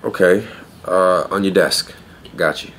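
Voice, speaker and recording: An actor playing a wry, blunt young pet store clerk in an audio play, deadpan and sardonic. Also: male voice, low-pitched, unhurried, full character voice. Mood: wry, unimpressed. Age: young adult. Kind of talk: naturalistic audio play acting.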